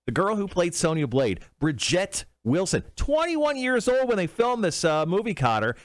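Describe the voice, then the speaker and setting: A man co-hosting a podcast, chatting casually about movies in an informal, emphatic way with some drawn-out words. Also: high voice, huge pitch swings, no echo, studio quality. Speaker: podcast host.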